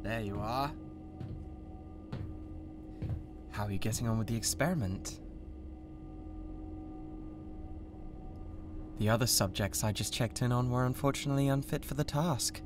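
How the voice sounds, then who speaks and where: A British man playing a cold, condescending mad scientist with a god complex in an ASMR roleplay, speaking in a deliberate, theatrical way. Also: medium pitch, music in the background, natural voice. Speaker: ASMR voice actor. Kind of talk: ASMR roleplay, scripted drama.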